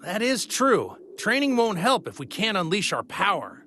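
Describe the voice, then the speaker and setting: A man's voice: high voice, sing-song, moderate pace, bright, forceful tone, slight background noise, full character voice. A man voicing an earnest, plain-spoken martial-arts hero in a video game, with a boyish manner. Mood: serious. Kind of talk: animated video game voice acting. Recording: music behind the voice.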